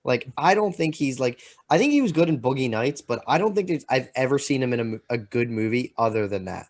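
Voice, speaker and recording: Halting, opinionated man with mid-pitched voice. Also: lively intonation. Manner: halting, repetitive, peppered with "like". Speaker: podcast co-host. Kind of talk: casual opinionated chat.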